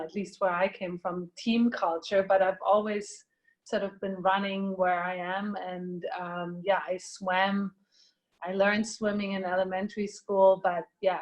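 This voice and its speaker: A woman's voice: mid-range voice, rough recording. Woman from germany, slovak first language, casual guest chat, kinda hesitant, restarts a lot. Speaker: guest athlete.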